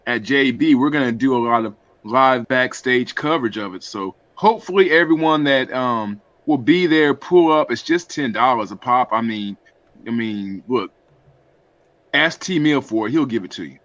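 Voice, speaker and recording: A man's voice: mid-range voice, normal speed, fairly bright tone, dry recording. A man talking casually and at length as a radio panelist, informal and conversational, on slightly dull audio. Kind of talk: casual unscripted radio talk.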